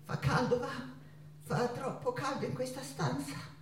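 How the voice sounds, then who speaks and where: An actress playing an ailing, feverish woman painter who pleads with a friend in halting, theatrical phrases in a stage monologue. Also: high-pitched, steady tone, a little hiss or hum. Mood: weary, suffering. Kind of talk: stage monologue over guitar. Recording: roomy stage, distant mic, guitar behind.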